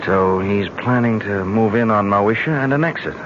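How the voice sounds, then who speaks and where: An actor playing a steady, plain-spoken American husband in an old radio drama, casual and colloquial. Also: male voice, fairly low pitch, normal speed, noisy background, close to an everyday voice. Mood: tense, supportive. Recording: muffled old radio broadcast, busy background voices.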